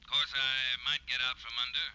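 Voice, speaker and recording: An actor playing a bossy, stubborn, brusque factory owner on the telephone in an old-time radio drama. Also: male voice, mid-range voice, flat delivery, fast, pushed and bright, clear, resonant tone. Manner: brusque, commanding. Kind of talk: 1950s radio drama acting. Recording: noisy old radio recording, telephone scene.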